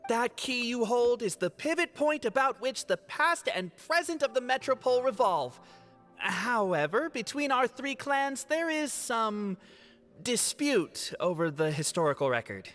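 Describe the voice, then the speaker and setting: High-voiced, lofty fantasy historian. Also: male voice, very animated, unhurried, a bit bright, heavily acted. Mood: solemn, lofty. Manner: ceremonious, bard-like. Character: lofty, wry male clan historian in a fantasy realm. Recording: echoey hall reverb with music.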